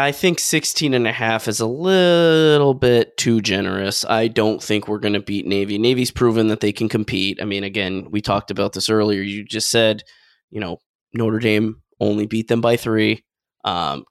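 A man co-hosting a casual sports podcast, giving a measured take with hesitant fillers. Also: fairly low pitch, steady tone, clear, resonant tone, dry recording, studio quality. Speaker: podcast co-host.

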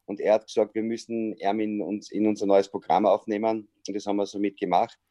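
A middle-aged Austrian man speaks in a low, mellow voice on a dry, close video call. He tells a story easily and keeps pausing to hesitate. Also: flat delivery, dry recording. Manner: chatty storyteller with frequent hesitations. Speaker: sports journalist and comedian. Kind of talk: casual interview over video call.

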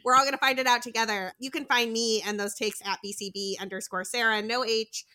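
A woman's voice: on the high side, steady tone, fairly quick, pushed and bright. A woman from Western Massachusetts, a podcast guest who talks enthusiastically and freely. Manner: enthusiastic and talkative. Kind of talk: casual podcast chat.

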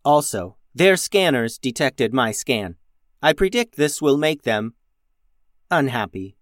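Formal robot first officer read by a male storyteller: composed, factual, measured, for a children's storybook, dry sound. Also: mid-range voice, big pitch swings, no echo. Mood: matter-of-fact. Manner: measured, matter-of-fact.

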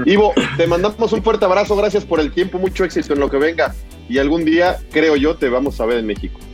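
A man hosting a football podcast, casual and chatty, dropping colloquial slang as he questions his guest. His voice is slightly higher than average, with faint music behind him. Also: quick pace. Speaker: podcast host.

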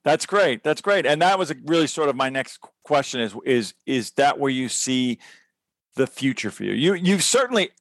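A man interviewing an actor in casual conversation, enthusiastic and halting, with many restarts. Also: mid-range voice, sing-song, no room sound.

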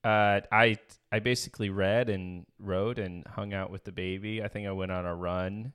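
A man's voice: fairly low pitch, fairly even intonation, normal speed, a bit bright, smooth. A man co-hosting a podcast in casual conversation, musing analytically and a little hesitantly as he goes. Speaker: podcast co-host. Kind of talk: casual chat.

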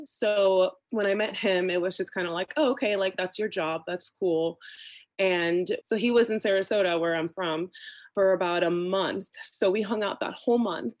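A woman's voice: on the low side, dry recording, muffled recording. A woman in her thirties from Venezuela, chatting casually as a podcast guest and filling her talk with "like" and "you know.". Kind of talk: casual conversation.

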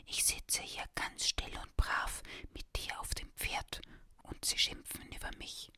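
A woman's voice: measured pace, pushed and bright. A woman voicing a cheeky young prankster boy in a storybook reading, whispering with pleading, feigned innocence.

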